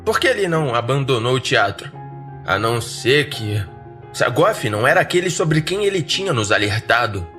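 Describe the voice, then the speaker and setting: A man with a Brazilian accent narrating a first-person horror story in a dramatic, wry and expressive way. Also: mid-range voice, moderate pace, soft background music.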